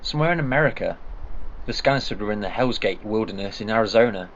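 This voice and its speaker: A man's voice: fairly low pitch, expressive, fast talker, a bit bright. A man voicing a brusque, impatient time-traveling alien. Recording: dull lo-fi recording.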